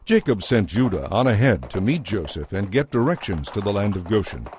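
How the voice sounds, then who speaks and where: A man narrating scripture from a script in a measured, solemn manner. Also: lowish voice, sing-song, a little mellow, a little hiss or hum. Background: music.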